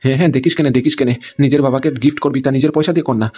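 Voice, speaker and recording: A man's voice: mid-range voice, steady tone, racing, dark tone, breathy edge, natural voice. A man voicing an indignant, stubborn husband in an amateur comedy cartoon, scolding and tacking questions onto his sentences. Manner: scolding, repeats tag questions. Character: indignant, stubborn husband guarding his hard-earned house.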